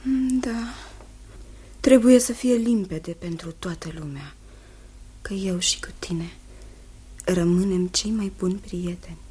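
An actress playing a sweet, earnest, unhappy young fiancée in a Romanian radio play, speaking tenderly and hesitantly. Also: female voice, medium pitch, pauses often, dark tone, breathy edge. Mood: uneasy. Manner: tender, hesitant, repeats phrases, seeks agreement. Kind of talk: Romanian radio theatre acting.

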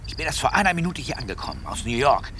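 An actor playing a domineering wealthy husband in a radio play, brusque, insistent and commanding. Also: male voice, mid-range voice, huge pitch swings, fast, crowd noise. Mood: urgent, commanding. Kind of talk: naturalistic radio play acting.

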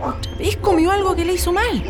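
Radio drama: homely, hesitant Chilean mother, anxious temper, high-pitched, clear music bed. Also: fairly even intonation, brisk. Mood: worried. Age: middle-aged.

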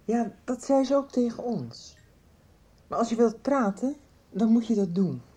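Concerned family member, soft mellow voice, gentle questions, radio soap. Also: female voice, on the high side, big pitch swings, unhurried. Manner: gently probing, caring.

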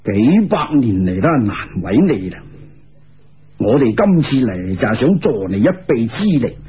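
A kindly immortal master from a Cantonese storybook, acted by a man, speaks with solemn compassion in a measured way. The voice drops low and is very husky, on a dull lo-fi recording. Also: big pitch swings, heavily acted. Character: kindly male immortal master, offering gentle help. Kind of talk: Cantonese storybook character voicing.

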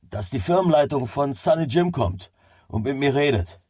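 An actor in a German radio drama playing a stubborn, excitable working man who swears and swings from muttering to exclaiming. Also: medium pitch, normal speed, slightly rough, no room sound, studio quality. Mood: determined.

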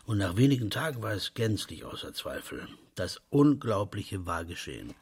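A man performing as a dry, deliberate storyteller in a literary radio play, pointed and gravelly in delivery. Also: on the low side, sing-song, exaggerated voice. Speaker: radio drama narrator.

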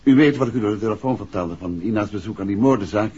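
A man's voice: lowish voice, fast talker, mellow, breathy edge. An actor playing a composed, courteous male amateur sleuth and affectionate husband in a 1960s Dutch radio drama. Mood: measured. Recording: dull, muffled 1960s radio recording.

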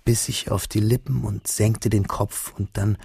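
A man playing a shy, earnest young Frenchman who narrates his memories in a reflective, measured, literary radio drama style. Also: on the low side, fairly even intonation, gravelly, warm tone, slightly rough, no echo, heavily acted. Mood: dreamy, reflective. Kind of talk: scripted first-person radio drama narration.

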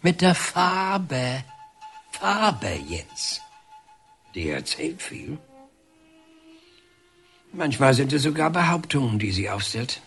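An actor playing a defiant, scornful, earthy painter in a radio drama, halting and muttering with sudden exclamations. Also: male voice, medium pitch, very animated, a bit bright, faint music behind, full character voice. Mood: musing.

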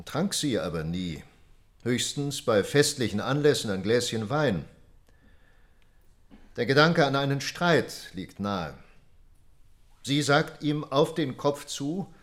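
An actor playing a stern, probing court prosecutor who lays out the case deliberately and insistently in a radio drama. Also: male voice, mid-range voice.

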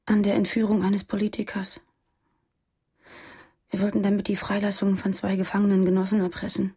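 An actress playing an earnest, idealistic former militant on the run in a German radio drama, in a husky voice. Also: female voice, mid-range voice, monotone, a little fast. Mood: earnest, defiant. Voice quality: husky, creaky, mellow.

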